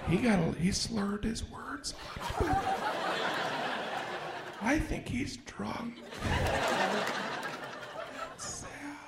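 A man's voice: extremely high pitch, expressive, extremely slow, gravelly, long pauses. A man giving sarcastic running commentary with snide, mocking asides over a comedy act. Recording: echoey, noisy, crowded recording.

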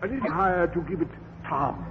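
A man playing a smooth, scheming con man in a radio comedy, suave and sly. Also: fairly high pitch, sing-song, brisk, muffled recording. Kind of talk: 1950s radio comedy acting. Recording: old radio broadcast, hiss, reverb.

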